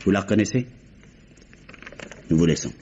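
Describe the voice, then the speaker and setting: A man's voice: sits low, animated, moderate pace, mellow. An actor playing a stern, insistent policeman with a curt, controlled, probing manner, in old French radio mystery style. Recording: dull, noisy vintage radio recording.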